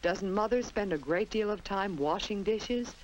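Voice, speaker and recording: A woman's voice: medium pitch, animated, unhurried, slight background noise, poor audio. An American woman narrating a 1950s children's instructional film in a simple storytelling manner, on an old film soundtrack. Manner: simple, storytelling delivery addressed to young children.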